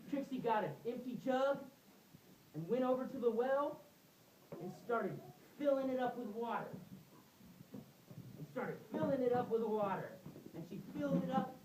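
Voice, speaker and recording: A woman's voice: a little high, noisy background. A woman telling a story live to children at a puppet show, prompting them to join in as she goes. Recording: echoey room, distant mic, music.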